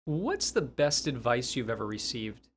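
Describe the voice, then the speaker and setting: A man's voice: medium pitch, very animated, measured pace, a little hiss or hum. A man interviewing someone conversationally, putting questions about life and memories.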